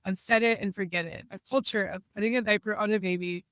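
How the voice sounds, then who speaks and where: A woman hosts a podcast in an earnest, encouraging tone, her voice a little congested from a cold. The sound is close and dry, with no room echo, and she speaks from inside a car. Her tone stays smooth and clear despite the congestion. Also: medium pitch, fairly even intonation, fast, fairly bright tone. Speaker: podcast host. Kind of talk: casual podcast monologue. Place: in a car while driving.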